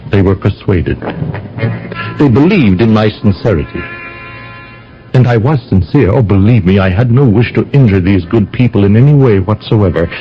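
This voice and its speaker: Impassioned young romantic, old-time radio drama, very animated, insistent, stammering, muffled broadcast sound. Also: male voice, lowish voice. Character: agitated young lover insisting his night visitor lives. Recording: dull radio drama recording with music.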